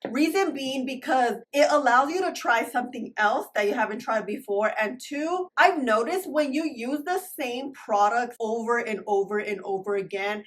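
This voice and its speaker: A woman beauty vlogger with a hoarse, slightly raspy voice from being under the weather, chatting upbeat through a makeup review and dropping in Spanish words. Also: fairly high pitch, a bit bright. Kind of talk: casual makeup review to camera.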